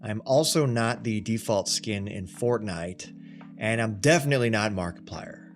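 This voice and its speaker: A man's voice: fairly low pitch, normal speed. A man in his thirties from Thailand, talking casually and self-deprecatingly about his life in a personal vlog. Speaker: actor and content creator. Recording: faint background music.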